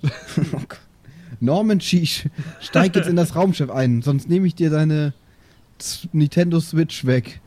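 Man playing a mellow-voiced, exasperated father. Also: fairly high pitch, unhurried, natural voice. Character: exasperated, placating father herding his young son.

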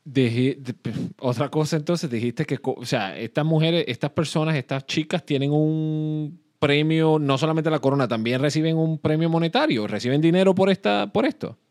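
A man with a Puerto Rican accent, hosting a chat show, asking curious questions with halting restarts. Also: fairly high pitch, darkish tone. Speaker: host. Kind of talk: casual talk-show chat.

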